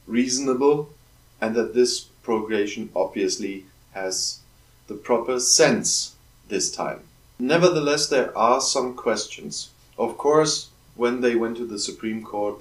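Male, 40s, German accent, low-ish voice, earnest formal commentator, room echo. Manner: earnest, explanatory, slightly formal phrasing. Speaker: YouTube political commentator. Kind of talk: solo political commentary to camera. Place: indoor home studio, shelves behind. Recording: roomy, slightly distant sound.

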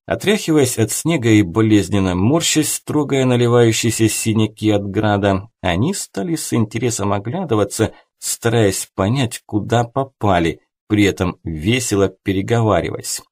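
Casting: male audiobook narrator, lowish pitch, rough-edged husky tone, for first-person novel reading. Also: lively intonation, normal speed, few pauses, a little mellow.

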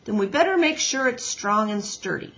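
A woman acts out a stubborn father beaver from a children's storybook. He talks in a blunt, folksy, grumbling way, grudgingly helpful, with plenty of swing in the pitch. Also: animated, normal speed, a bit bright. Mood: folksy, grudgingly friendly.

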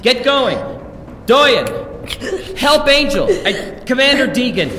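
Brave male hero acted by a man, high and animated, reverberant, for an adventure serial. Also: slow pace, slight background noise, full character voice. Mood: shouted urgency. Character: brave, selfless young action hero. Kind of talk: audio drama acting. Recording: echoey hall reverb under music.

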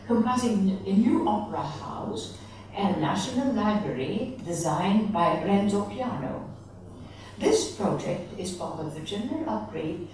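A middle-aged woman at a lectern reads a prepared conference paper in a measured way, with small slips and self-corrections. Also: medium pitch, darkish tone, recorded from a distance, slight background noise. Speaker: conference speaker reading a presentation. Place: large conference hall, at a lectern. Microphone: lectern microphone. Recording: echoey hall, voice from off the mic.

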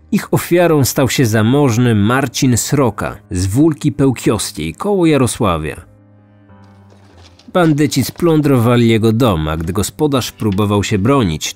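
A man's voice: fairly low pitch, a little mellow. A man hosting a true-crime podcast, reading scripted storytelling narration. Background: music.

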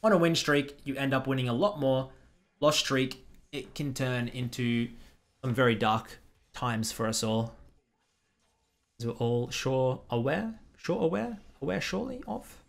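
A man's voice: mid-range voice, gravelly. A young man commentating a game replay in casual, blunt style, recorded at home on a headset. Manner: blunt, critical, quick asides. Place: small room at home.

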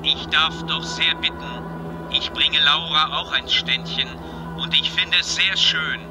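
A man's voice: a little high, fairly even intonation, a little slow, over music, close to an everyday voice. A man voicing a proud, eager spaceship computer with polite manners in a children's sci-fi radio play. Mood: offended pride.

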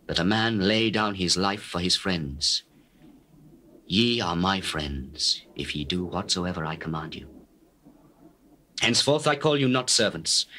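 An actor playing a solemn male teacher addressing his disciples in measured, declamatory scripture drama. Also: on the low side, bright, forceful tone. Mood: tender, grave, then earnest. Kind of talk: dramatized King James scripture reading.

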